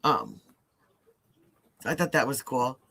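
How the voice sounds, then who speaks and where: A man's voice: medium pitch, animated, takes it slowly, gravelly, bright, forceful tone, slightly rough. A man with a mixed Midwestern accent, partly Ohio and partly Southern, chatting loosely on a live true-crime stream with lots of fillers and restarts. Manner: rambling, lots of fillers and self-restarts, easy laughs. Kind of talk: casual live-stream chat.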